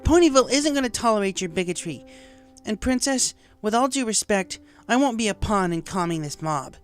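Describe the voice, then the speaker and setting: A man's voice: sits high, expressive, fairly quick, clean tone, faint music behind, pitched above the performer's own voice. A man voicing a proud, defiant young female pony in a storybook reading. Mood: bitter, defiant. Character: proud, defiant, brash young adult mare.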